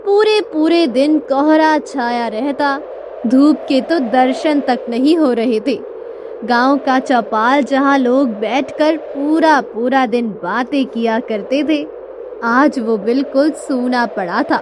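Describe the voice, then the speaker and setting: A woman narrating a scripted cartoon story in a dramatic, deliberate storytelling manner. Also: high-pitched, background noise. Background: music.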